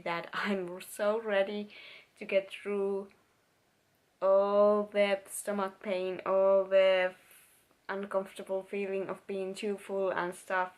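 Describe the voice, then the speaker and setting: A young woman with a Finnish accent, speaking English as a second language in a casual personal vlog, searching for words and restarting sentences. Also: medium pitch. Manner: laughs now and then while talking. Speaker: vlogger.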